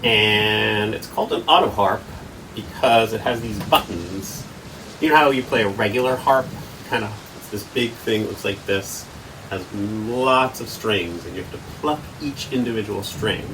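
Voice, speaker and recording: Man host for a kids' storytime, a touch low, talks kids through drawing, audible noise behind. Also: moderate pace. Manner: chatty, asks kids questions while drawing. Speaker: author and illustrator leading a kids' storytime. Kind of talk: playful children's storytime talk.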